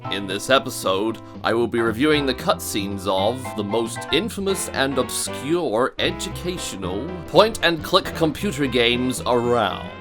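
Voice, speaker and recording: A man's voice: medium pitch, animated, unhurried, few pauses, over music. A man hosting a scripted video review in a theatrical, mocking manner. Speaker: host of a video review series.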